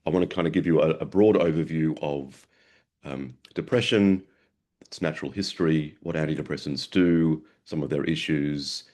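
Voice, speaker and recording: A man with a very low voice, gravelly and rough from a sore throat. He lectures over a video call, often stumbling and repeating words before getting his point out. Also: darkish tone. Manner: halting, repeats words, frequent um. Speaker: guest presenter, psychiatrist. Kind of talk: webinar lecture.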